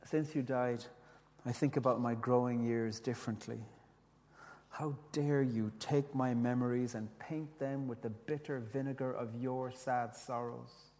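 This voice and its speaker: A man with an Irish accent, whose first language is Irish, gently telling a reflective story with restarts and reading his own poetry. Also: mid-range voice, steady tone, measured pace, big-room sound. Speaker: speaker, poet.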